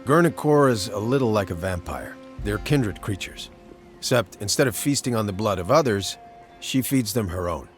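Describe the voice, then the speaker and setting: A man voices a weary mercenary monster hunter from a fantasy game. His voice sits fairly low, with blunt, colloquial, matter-of-fact phrasing. Music runs underneath. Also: lively intonation, moderate pace, natural voice. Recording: background music under the dialogue.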